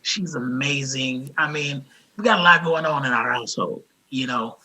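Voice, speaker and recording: A raspy-voiced man from Los Angeles, speaking as an interview guest in an earnest, grateful way, often restarting his sentences. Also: mid-range voice, moderate pace, sharp tone, rough recording. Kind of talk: casual interview talk.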